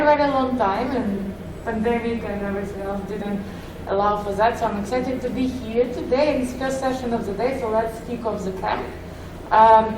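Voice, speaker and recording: A woman's voice: on the high side, noisy background. A woman from Ukraine with a Ukrainian accent and a slightly muffled voice, giving a conference talk in a friendly way with many "uh" fillers. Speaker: conference speaker. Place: conference session room. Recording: echoey hall, heard from a distance.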